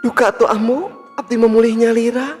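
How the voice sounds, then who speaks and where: A man's voice: extremely high pitch, fairly even intonation, fairly quick, darkish tone, voice pushed up. A man with a Sundanese accent voicing a brazen, curt jinn queen disguised as a village girl in a folk tale. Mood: indifferent. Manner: curt, dismissive. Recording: long hall reverb with music.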